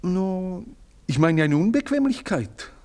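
A man's voice: sits high, big pitch swings, takes it slowly, a little mellow, heavily acted. An actor playing a meddling, servile male house servant who speaks in long-winded, fawning phrases. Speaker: servant Theodor. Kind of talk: radio play acting.

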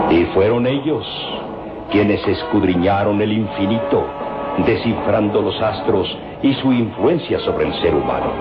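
A deep-voiced, passionate old professor. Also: male voice. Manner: grandiloquent, emphatic, theatrical. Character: elderly male archaeology professor, passionate, awed, obsessive about Egypt. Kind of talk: radio drama acting. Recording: dull vintage radio recording with music.

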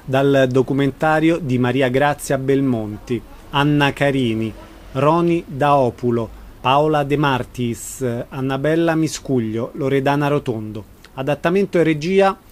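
A man introduces a radio programme from a script. His voice sits at a medium pitch, with a plain announcer's delivery. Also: unhurried.

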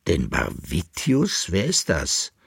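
A man's voice: sits low, lively intonation, takes it slowly, slightly rough, no room sound, clean studio sound, exaggerated voice. A man voicing a proud, blunt young nobleman in an audiobook, with formal old-fashioned phrasing. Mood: indifferent.

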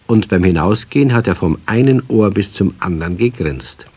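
Low husky male storyteller. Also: lively intonation, normal speed, a little mellow. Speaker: narrator. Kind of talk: scripted storytelling narration for children.

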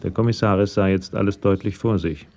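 A man narrating a scripted crime radio play in a measured, matter-of-fact storytelling manner. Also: low-pitched, fairly even intonation, dark tone.

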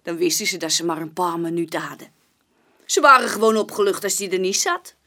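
An actress playing a young, plain-spoken barmaid giving witness testimony in a chatty, colloquial way in a radio play. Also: female voice, fairly low pitch, fairly quick, pushed and bright. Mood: chatty, forthcoming. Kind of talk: naturalistic radio play dialogue.

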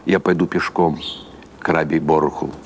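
A man voicing a poor, witty, philosophical husband in a storybook reading, wry, reflective and unhurried. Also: rumbling low, little pitch movement, over music, pitched below the performer's own voice. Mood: resigned.

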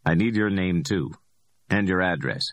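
A man's voice: low voice, moderate pace, no room sound, close to an everyday voice. A man voicing a matter-of-fact middle-aged bail bondsman.

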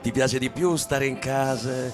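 An actor playing a brooding, commanding, seductive husband in radio theatre, speaking deliberately and insinuatingly. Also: male voice, medium pitch, steady tone, over music, close to an everyday voice.

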